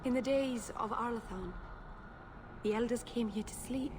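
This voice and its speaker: A woman acts out an earnest young elven mage for a game cutscene. Her voice sits a little high and is gentle and guileless. Clear ambient noise runs behind her. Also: normal speed, lightly acted. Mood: solemn, gentle. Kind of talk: video game cutscene acting.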